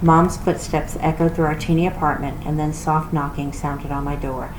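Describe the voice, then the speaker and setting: A woman giving an expressive storybook read-aloud, like a children's library reader. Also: sits low, normal speed. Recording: roomy echo with clear background noise.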